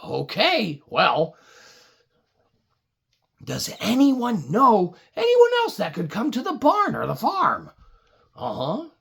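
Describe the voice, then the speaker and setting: A woman with a husky, gravelly voice improvising a children's puppet story, chatting casually to viewers in a mock-weary way. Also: medium pitch, huge pitch swings, slow pace, pauses often, a bit bright.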